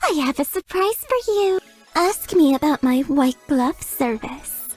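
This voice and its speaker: Giggly flirty maid. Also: female voice, high voice, animated, measured pace, darkish tone, soft background music. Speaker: chambermaid character. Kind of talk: video game voice lines.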